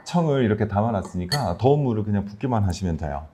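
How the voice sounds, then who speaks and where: A man with a very animated, swinging intonation, chatting and explaining like a doctor on a health show. He is good-humoured and informal. There is faint noise behind his voice. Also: fairly low pitch, quick pace. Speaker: Korean medicine doctor and health show host. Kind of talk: casual, explanatory chat.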